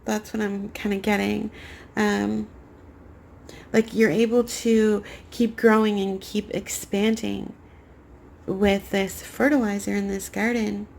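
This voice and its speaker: A young woman giving a rambling spiritual tarot reading to camera, her voice raspy as she gets over a cold. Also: medium pitch, level tone, takes it slowly, slight background noise. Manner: soft, meandering spiritual talk with many fillers. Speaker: tarot card reader.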